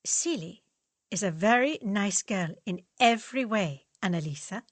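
Woman reading calm young student Max. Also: medium pitch, unhurried, dry recording. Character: earnest young male student, calm peacemaker.

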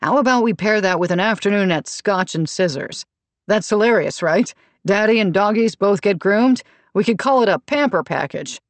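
A woman's voice: mid-range voice, lively intonation, normal speed, no room sound, pitched above the performer's own voice, lightly acted. A woman voicing an agreeable, playful dad with an eager manner in an audiobook. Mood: amused. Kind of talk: audiobook character dialogue.

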